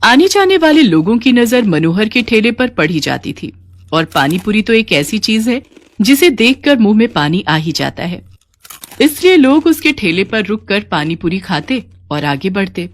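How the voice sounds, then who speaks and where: A woman's voice: mid-range voice, big pitch swings, normal speed. A woman narrator reading a scripted children's story.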